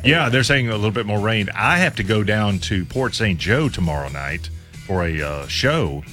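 Low-voiced, lively morning radio man. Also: normal speed, faint music behind. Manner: easygoing, chuckling, lots of backchannel. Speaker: radio show co-host. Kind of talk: casual morning radio chat. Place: radio studio. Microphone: studio microphone.

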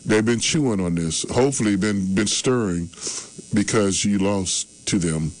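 A man's voice: on the low side, a little slow, a little mellow, rough recording. A man in his early sixties grading his team's game in casual sports talk, rambling and repeating himself. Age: 60s. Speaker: sports show regular.

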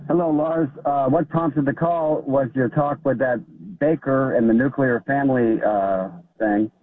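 A man's voice: mid-range voice, fairly even intonation, unhurried, no room sound. A man calling in to a radio show, chatting casually and a little hesitantly over the telephone. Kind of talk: casual phone-in conversation. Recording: dull, band-limited phone line.

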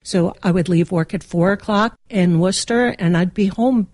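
A woman's voice: on the low side, moderate pace, darkish tone, no echo. A woman, telling the story of her working life as an interview guest. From Massachusetts.

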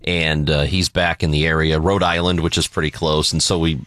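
A man's voice: very deep voice, level tone, fairly quick, a bit bright, no room sound. A man co-hosting a talk radio show, chatting casually into a close studio microphone. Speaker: radio co-host. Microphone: close studio broadcast microphone.

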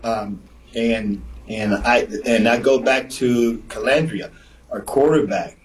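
Male guest, former player, mid pitch, animated anecdotal delivery. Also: slow pace, poor audio. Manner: animated storyteller, repeats himself. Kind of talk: casual sports radio chat.

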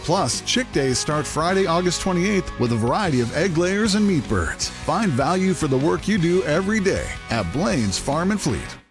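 A man's voice: a little high, big pitch swings, moderate pace, hardly any pauses. A man voicing a punchy retail store ad. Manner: punchy, salesy. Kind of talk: retail ad voice-over. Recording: ad with music bed.

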